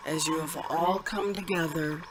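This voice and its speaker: A woman playing an ancient motherly cosmic being, solemn and measured, in a radio drama. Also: sits low, fairly even intonation, lightly acted. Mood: solemn, grave. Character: ancient motherly cosmic being, grave and watchful over humanity. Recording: very noisy radio transmission, ambient sound.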